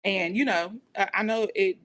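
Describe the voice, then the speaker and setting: A woman's voice: fairly even intonation, moderate pace, fairly bright tone. An American woman talking in a conversational interview, explaining and recounting in a reflective way as a guest. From United States. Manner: reflective, explanatory, often says "you know". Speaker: podcast guest, police dispatcher. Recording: noticeable background noise, low rumble.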